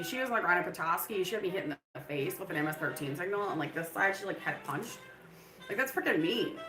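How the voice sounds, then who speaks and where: A woman's voice: fairly low pitch, a bit bright, echoey room, low-quality recording. A woman hosting a live stream, talking in a loose, rambling way full of fillers. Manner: breaks into laughter. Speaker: livestream host.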